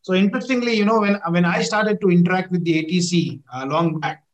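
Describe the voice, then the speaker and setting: High-pitched Indian man hosting an interview. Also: fast, muffled recording. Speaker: interview host, former air force officer. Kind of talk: conversational interview.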